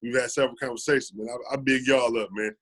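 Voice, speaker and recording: This is an American man in his thirties with an average-pitched voice. He speaks as a guest in a casual interview, telling personal stories. His delivery is earnest, and he repeats phrases to make them land. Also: fairly even intonation, quick pace, a bit bright. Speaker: podcast guest. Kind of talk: casual conversational storytelling.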